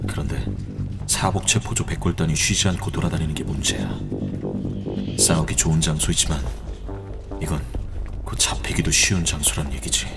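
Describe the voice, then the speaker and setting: A man's voice: rumbling low, expressive, normal speed, gravelly, pauses often, heavily acted. A man playing a young male student protest leader, wary and hesitant, stammering around old friends, in a radio drama. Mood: brooding. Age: young adult. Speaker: student activist. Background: background music.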